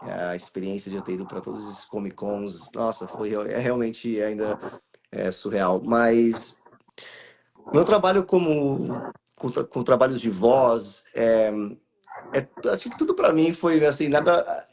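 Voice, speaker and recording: A man's voice: mid-range voice, lively intonation, unhurried, darkish tone, slight background noise. A Brazilian actor in his thirties, speaking in a casual live interview and telling his career story with many restarts and fillers. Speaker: guest actor and voice actor.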